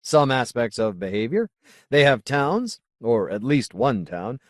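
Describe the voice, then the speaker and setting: A man voicing a solemn, zealous colony leader in an audiobook, speaking in a formal, deliberate, oratorical way. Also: medium pitch, big pitch swings, no room sound, studio quality, pitched above the performer's own voice. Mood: explanatory, firm. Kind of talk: audiobook character dialogue.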